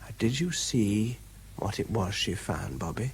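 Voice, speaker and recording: An actor with a British accent playing an earnest, tired young military bomb investigator in a radio drama, courteous and a little hesitant. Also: male voice, lowish voice, expressive, measured pace, creaky, a little hiss or hum. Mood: gentle questioning.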